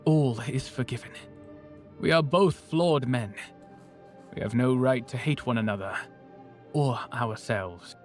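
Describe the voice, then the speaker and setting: An actor playing a noble, forgiving fallen monarch in a visual novel game, with a solemn, formal, earnest manner. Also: male voice, mid-range voice, measured pace, pauses often, a little mellow, natural voice. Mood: solemn forgiveness. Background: music.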